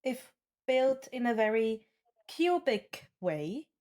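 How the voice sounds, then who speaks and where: A woman running a tabletop game, narrating on the fly in a deliberate way with pauses between phrases. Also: on the high side, very animated, a little mellow. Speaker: game master.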